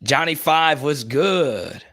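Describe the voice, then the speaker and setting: A man's voice: a little high, expressive, slow pace, sharp tone. A young man hosting a sports live stream, rambling casually while reading and answering viewers' chat comments in his plain, everyday voice.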